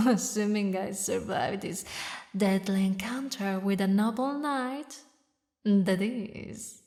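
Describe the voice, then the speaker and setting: Woman performing a demon queen, haughty, theatrical, mocking, unhurried delivery, dramatic pauses, audio roleplay. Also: medium pitch, dark tone. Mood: amused, taunting. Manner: slow, taunting, regal, with dramatic pauses. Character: haughty, mocking demon queen mourning her lost lover. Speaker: villainous ruler addressing a knight.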